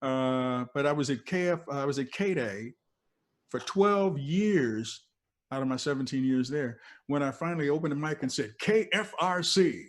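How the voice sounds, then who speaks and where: Older American male, veteran DJ as interview guest, mid-pitched, casual storyteller, emphatic and digressive. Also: lively intonation, moderate pace.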